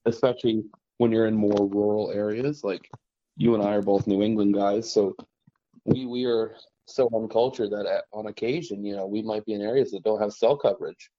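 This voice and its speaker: A man's voice: on the low side, dry recording, lo-fi sound. A man from western Massachusetts, chatting casually as a podcast host with lots of fillers. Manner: relaxed, rambling, many "like" and "you know" fillers. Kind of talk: casual conversation about trapping.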